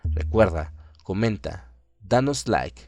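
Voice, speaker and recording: Un hombre que narra una radionovela de terror con guion, de manera solemne y dramática. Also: male voice, sits low, lively intonation, slow, darkish tone, no room sound. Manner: solemn, dramatic. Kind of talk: scripted horror story narration.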